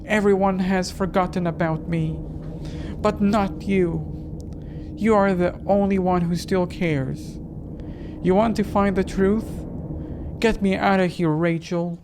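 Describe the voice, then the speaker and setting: A performer voicing a ghostly young lost sister in a horror game, with a weak, frail voice and slow, drawn-out pleading. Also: mid-range voice, fairly even intonation, background noise, pitched above the performer's own voice.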